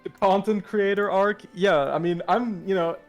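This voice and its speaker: A man's voice: sits high, moderate pace, faint music behind. A man doing casual live fighting-game commentary, laid-back and joking, with frequent swearing. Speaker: esports commentator.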